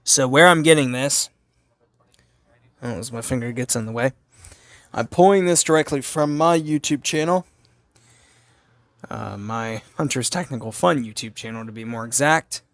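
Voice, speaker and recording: A man's voice: medium pitch, normal speed, long pauses, no echo. A man giving a casual hands-on gadget review as a channel host, informal and repetitive as he thinks aloud.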